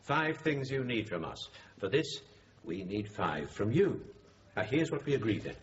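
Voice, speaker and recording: An actor playing a calm police negotiator who speaks slowly and firmly. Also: male voice, lowish voice. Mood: measured. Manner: slow, measured, reassuring. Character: calm male police negotiator, measured and firm. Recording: dull radio drama recording with light hiss.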